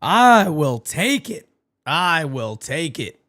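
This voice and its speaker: Hoarse, excitable male fan play-by-play host. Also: a little high, slow. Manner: excitable, shouts on big plays, swears freely. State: hoarse, losing his voice. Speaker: fan play-by-play host of a live watch party. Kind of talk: live fan play-by-play and chat with viewers.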